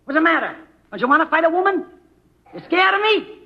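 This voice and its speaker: An actress playing a gruff, belligerent female bodyguard with brusque, slangy threats in a vintage radio comedy. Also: very high voice, very animated, fast, heavily acted. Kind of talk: 1950s radio comedy acting. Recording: muffled vintage radio recording.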